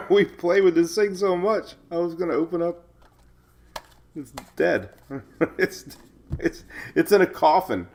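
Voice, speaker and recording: A male live-stream host with a fairly high voice chats casually to viewers. He rambles and jokes along, and his nose sounds a little stuffed up from a cold. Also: slow, long pauses, darkish tone. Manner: chatty, rambling, jokey.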